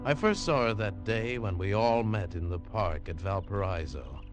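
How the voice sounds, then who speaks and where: A dry, wisecracking American soldier of fortune, played by a man in an old radio drama. His voice is low-pitched and easy, and music runs under his narration on an old broadcast recording. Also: normal speed, lightly acted. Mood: narrating. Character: wry American adventurer narrating his own story. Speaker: narrator and lead.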